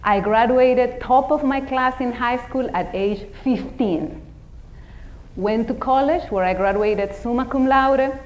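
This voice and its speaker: A woman's voice: on the high side, measured pace, a little mellow, low-quality recording. Woman with a Dominican Spanish accent. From Dominican Republic. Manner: humorous, self-deprecating. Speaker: keynote speaker. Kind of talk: motivational talk.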